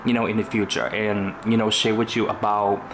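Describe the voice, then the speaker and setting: A man's voice: on the low side, flat delivery, a little fast. A young man from Vietnam, speaking English with a Vietnamese accent, chatting casually on his vlog with lots of "you know" fillers. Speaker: YouTuber. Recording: echoey room with faint noise.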